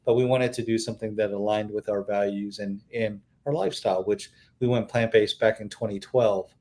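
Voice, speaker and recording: A middle-aged man speaking as an interview guest, telling his story in a steady, earnest way with plenty of fillers. His voice sits on the low side. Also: a little fast. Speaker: restaurant owner, interview guest. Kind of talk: casual interview chat.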